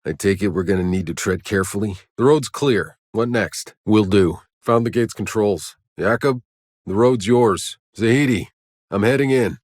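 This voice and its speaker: A man voicing a gruff, battle-weary soldier in a video game, sardonic and hard-bitten, with terse delivery. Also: fairly low pitch, moderate pace, darkish tone, no room sound, studio quality, lightly acted. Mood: focused, terse. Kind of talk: scripted game dialogue.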